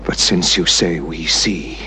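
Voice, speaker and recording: An actor playing a solemn, calm male religious teacher in a period film, speaking in a slow, measured, grave way. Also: very deep voice, music in the background. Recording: noisy film soundtrack.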